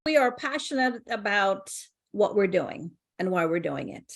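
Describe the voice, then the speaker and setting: Middle-aged Jamaican-accented woman, gravelly, chatty, laughing guest. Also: medium pitch, big pitch swings, a little slow. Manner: warm, laughing often, many restarts. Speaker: co-founder and guest. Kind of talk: casual interview conversation.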